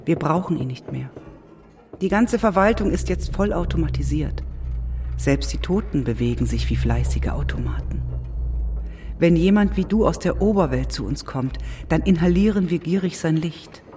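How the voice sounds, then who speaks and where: A man playing a weary, coaxing dweller of the underworld in a German radio play, measured and knowing. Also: a little high, lively intonation, warm tone, soft background music, natural voice. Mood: wistful, explaining.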